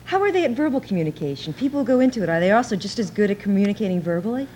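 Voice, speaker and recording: A woman's voice: mid-range voice, expressive, racing, a little mellow, a little hiss or hum. A woman hosting a conversational interview in front of an audience. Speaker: interviewer, host.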